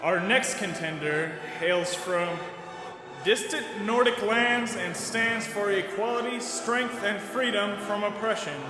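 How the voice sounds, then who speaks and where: An actor playing a hyped-up school assembly announcer, showy and drawn-out, in a scripted audio drama. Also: male voice, sits high. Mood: showy, excited. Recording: echoey assembly hall, music.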